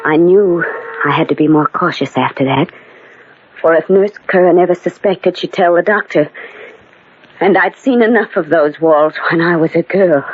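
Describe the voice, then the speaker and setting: An actress playing a middle-aged, anxious, secretly fearful ailing widow who narrates hesitantly and dramatically in a 1940s radio drama. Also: female voice, on the low side, normal speed, very noisy, lightly acted. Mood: haunted, confiding. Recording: muffled 1940s radio broadcast with music.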